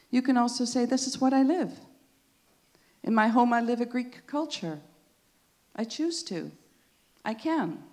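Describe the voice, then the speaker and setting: A woman's voice: mid-range voice, darkish tone. A middle-aged woman from the Netherlands answering an audience question on a panel, thoughtful and earnest. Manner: thoughtful, earnest, with light laughs. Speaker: panel speaker.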